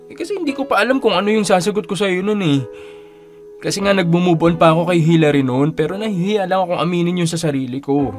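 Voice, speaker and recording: Male actor as lovelorn young man, high-pitched voice, pleading, halting, romantic radio drama, faint music behind. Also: close to an everyday voice. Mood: sheepish, pleading. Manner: pleading, hesitant, repeats phrases. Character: young man confessing long-hidden love to former college schoolmate.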